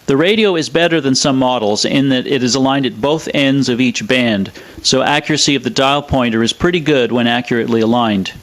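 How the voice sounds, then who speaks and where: A man with a mid-pitched voice presents scripted technical narration. He speaks in a measured, matter-of-fact manner, explaining things step by step. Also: a bit bright. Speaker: presenter of a vintage radio restoration video.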